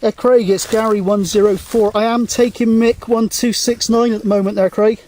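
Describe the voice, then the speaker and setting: A man with a noticeably high voice talks casually in the open air. He is a radio hobbyist, matey and a little hesitant, dropping in CB jargon as he goes. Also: steady tone, normal speed, a little mellow, a little hiss or hum. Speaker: CB radio hobbyist, video host. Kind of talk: casual radio chat and outdoor vlog. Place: outdoors on a mountain.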